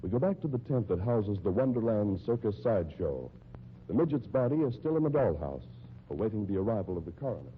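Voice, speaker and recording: A man's voice: on the low side, very animated, fast. A man announcing a mystery drama in dramatic, scripted 1950s radio narration. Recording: tinny, noisy 1950s radio recording.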